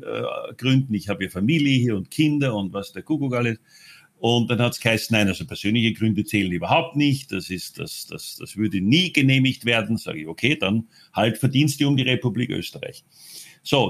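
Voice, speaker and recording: A man from Austria, telling his own story in an interview, halting often with fillers and restarts. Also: on the low side.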